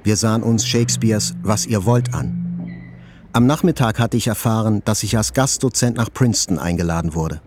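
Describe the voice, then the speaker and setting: An actor playing a journalist who soberly recalls his own life in measured literary narration. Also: fairly low pitch, lightly acted. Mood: reflective. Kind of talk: literary first-person narration in audio drama. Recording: faint music behind the voice.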